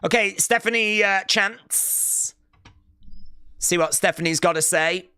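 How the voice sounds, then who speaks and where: A man's voice: high-pitched, slow pace, pushed and bright, clean tone, no room sound, studio quality. A man casually commenting on a wrestling show while streaming live and reading out chat messages. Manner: casual, chatty, many "uh" fillers. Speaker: wrestling watch-along streamer.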